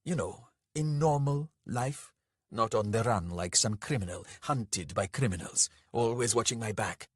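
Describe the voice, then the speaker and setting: A man's voice: lowish voice, animated, a little slow, husky, dry recording, studio quality. A man voicing an earnest, plaintive, lovesick young man in a story. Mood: earnest, pleading.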